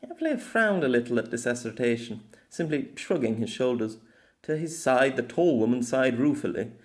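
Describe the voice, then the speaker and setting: A man's voice: mid-range voice, expressive, normal speed. A man reading a scripted story aloud as an amateur audiobook narrator, in a dramatic storytelling style.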